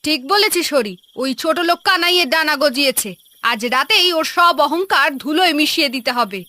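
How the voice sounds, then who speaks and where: A woman's voice: high-pitched, lively intonation, brisk, pushed and bright. A woman voicing a spiteful, scheming older village stepmother in a cartoon, with a sneering manner. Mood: scornful, scheming. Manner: sneering, venomous. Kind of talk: Bengali cartoon voice acting.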